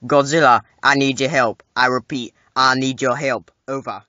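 Casting: male actor for earnest kaiju hero, forceful bright tone, medium pitch, urgent appeals, dry sound. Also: fairly even intonation, moderate pace, no room sound, lo-fi sound, close to an everyday voice. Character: earnest heroic giant monster seeking an ally.

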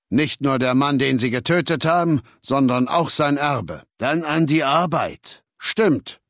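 An actor playing a commanding, haughty warlord in a video game, speaking in an imperious, measured, lecturing manner. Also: male voice, a little high, gravelly, no room sound, clean studio sound. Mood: stern. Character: commanding, haughty male warlord ruling a legion. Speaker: video game character, faction leader. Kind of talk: scripted game dialogue in a German dub. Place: dry studio recording.

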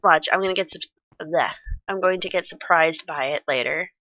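A woman's voice: low-pitched, moderate pace, sharp tone, dry recording, highs cut off. A woman with a stuffy, congested nose, rambling casually with lots of ums while commenting on her gameplay. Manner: rambling, many ums and restarts, chatty. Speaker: Minecraft let's play creator.

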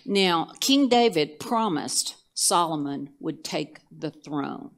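An older woman co-hosting a church Bible study show, retelling scripture stories in a measured way with some fillers. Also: deep voice, expressive. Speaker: co-host teaching scripture. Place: church studio set, indoors.

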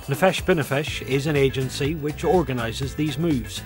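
A British man giving calm, measured scripted documentary narration. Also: mid-range voice, music in the background.

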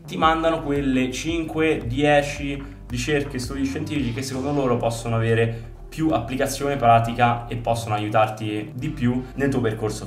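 A man's voice: medium pitch, far from the mic. A young man in his twenties, a fitness coach chatting casually to camera with lots of fillers, recorded indoors in a bedroom-like room. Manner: chatty, many fillers like "diciamo" and "giusto?". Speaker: fitness coach and video host. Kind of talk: casual explanatory vlog to camera. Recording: roomy sound from away from the mic, faint music behind.

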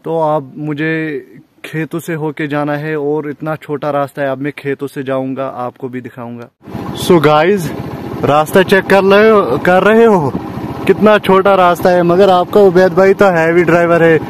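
A young man talks casually to his vlog audience. He is chatty and often repeats phrases for emphasis. The phone recording, made at arm's length outdoors, has clear background noise. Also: fairly high pitch, steady tone, unhurried. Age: 20s. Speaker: vlogger. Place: outdoors on village roads, paths and by water. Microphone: handheld phone at arm's length.

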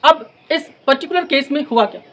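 Young guy teaching law, pretty high voice, deliberate phrase by phrase, repeats stuff for emphasis. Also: a bit bright. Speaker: law lecturer. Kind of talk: explanatory lecture with English legal terms mixed in. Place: in front of a classroom blackboard. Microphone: lapel mic.

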